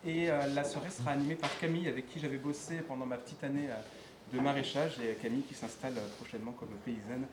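A man's voice: mid-range voice, steady tone, fast talker. Man, organizer, informal, many fillers, roomy sound, background noise. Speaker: event organizer. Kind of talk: welcoming remarks.

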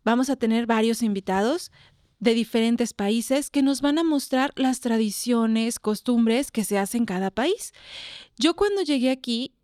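A woman's voice: a little high, unhurried, darkish tone, dry recording, studio quality. A Mexican woman presenting a radio programme and interviewing guests in Spanish. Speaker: radio host.

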